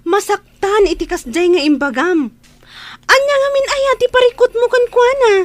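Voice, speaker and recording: Indignant younger sister: very high pitch, close dry sound, outspoken, insistent scolding. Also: female voice, moderate pace, no echo. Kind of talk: Ilocano radio drama acting.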